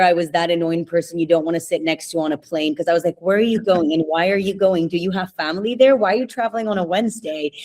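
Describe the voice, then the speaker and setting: A young adult woman, raised in Spain, chatting openly as a podcast guest and laughing often. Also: lowish voice. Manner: chatty, laughs often, asks herself questions. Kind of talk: casual interview answers.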